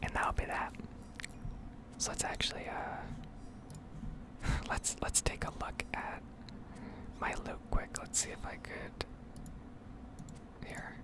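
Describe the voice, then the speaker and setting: Female ASMR gaming host: breathy whisper, close-miked, rambling and unhurried. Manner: unhurried, rambling, thinking aloud.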